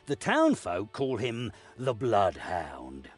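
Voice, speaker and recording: An actor playing a stern, authoritative lord of a castle in a video game, husky and deliberate. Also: male voice, lowish voice, wildly swooping pitch, soft background music, full character voice. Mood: commanding, urgent. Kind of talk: video game cutscene acting.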